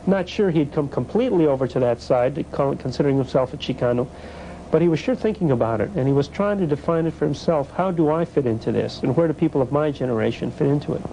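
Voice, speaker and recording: A man's voice: a little high, expressive, quick pace, warm tone, lo-fi sound, a little hiss or hum. A man reflecting in a documentary interview, thoughtful and hedging as he talks. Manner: thoughtful, hedging with "sort of" and "you know". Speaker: interviewee commentator.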